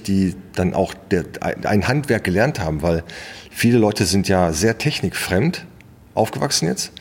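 A man's voice: fairly low pitch, slightly rough. A middle-aged man with a Ruhr German accent, telling colloquial anecdotes casually as an interview guest. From Ruhr area, western Germany. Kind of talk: casual storytelling in conversation. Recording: long hall reverb.

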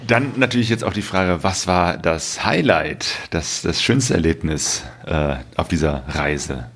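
A man's voice: sits low, measured pace, fairly bright tone, breathy edge. A man hosting a podcast, putting questions to his guests in a conversational style. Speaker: podcast host. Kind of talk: conversational interviewing.

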